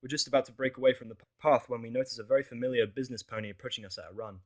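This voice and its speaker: A man narrating a fan fiction audio drama in the first person, expressive and wry. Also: mid-range voice, racing.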